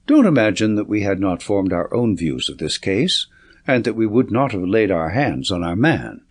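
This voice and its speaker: Young audiobook police inspector, played by a man: earnest, reserved, formal, touchy, low register with varied intonation.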